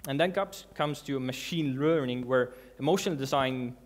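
Guy doing a conference talk in a big dark hall, earnest and kinda halting, lots of restarts. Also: medium pitch. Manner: earnest, halting, with restarts and non-native grammar. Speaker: conference speaker. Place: large dark hall with a seated audience.

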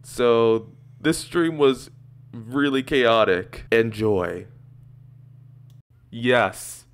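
Young male streamer, mid-pitched, rambling filler-heavy chat into a desk mic. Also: drawn-out words, clean tone. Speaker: streamer and reaction host. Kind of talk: casual reaction commentary. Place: small room at a desk in a gaming chair. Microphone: large desk microphone with headset.

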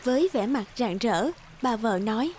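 A woman's voice: fairly high pitch, animated, moderate pace, a little mellow, slight background noise. A woman narrator reading a scripted fairy tale for a cartoon. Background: background music.

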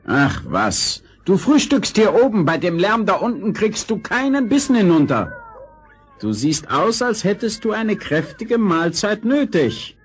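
An actor playing a gruff, bossy but kindly hermit in a fairy-tale radio play, brusque and grumbling. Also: male voice, sits high, sing-song, unhurried. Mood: gruffly caring.

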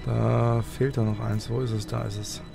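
A man's voice: mid-range voice, flat delivery, normal speed, vocal fry. A man giving casual let's play commentary, half muttering to himself as he plays. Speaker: let's player. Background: game music.

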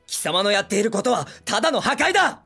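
Anime boy hero: excitable, brash teen, very lively melodic swings, eager exclamations, faint music behind. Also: high-pitched, fast, vocal fry, heavily acted. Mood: fired up. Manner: cocky, eager, exclamatory, boyish speech. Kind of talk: Japanese anime film acting.

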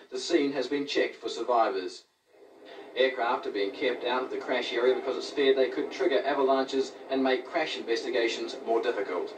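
New man reporting, echoey and distant. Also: mid-range voice. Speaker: news reporter. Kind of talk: scripted news voice-over. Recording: roomy, distant, noisy TV capture.

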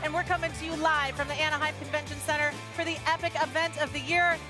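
A woman's voice: sits high, flat delivery, fast talker, over music. A woman hosting a live event broadcast from a studio desk, upbeat and enthusiastic. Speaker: host.

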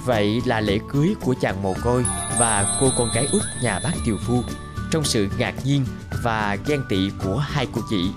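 A man's voice: medium pitch, normal speed, natural voice. A man narrating a scripted fairy tale for a cartoon. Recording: music behind the voice.

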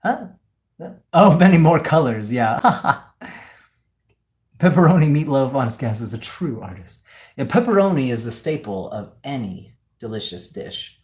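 A man's voice: medium pitch, very animated, measured pace, darkish tone. A man hosting a casual cooking livestream from his home kitchen, talking off the cuff and jokingly as he works. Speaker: cooking show host. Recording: clearly noisy home kitchen livestream audio.

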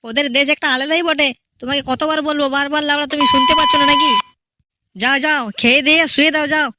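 An irritable young husband from a village comedy cartoon, acted out by a man, scolds crudely in a very high voice. He sounds excitable and exasperated, over heavy noise. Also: fast talker. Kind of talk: amateur comedy cartoon dubbing. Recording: very noisy amateur cartoon audio.

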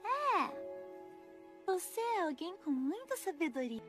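Cartoon dub: chatty, dreamy Brazilian little girl, childlike, very high, animated intonation. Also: slow, full character voice. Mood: earnest. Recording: film music behind the voice.

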